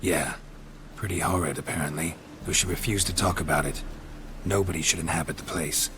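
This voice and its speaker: An actor playing a gruff, terse, world-weary monster hunter in a video game, deadpan. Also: male voice, deep voice, fairly quick, noisy background, lightly acted. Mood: matter-of-fact. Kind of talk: video game character acting.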